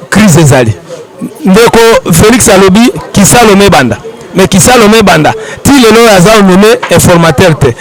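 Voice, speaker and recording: High-pitched Congolese man arguing politics. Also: low-quality recording. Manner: argumentative, rhetorical questions. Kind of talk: impassioned street political commentary.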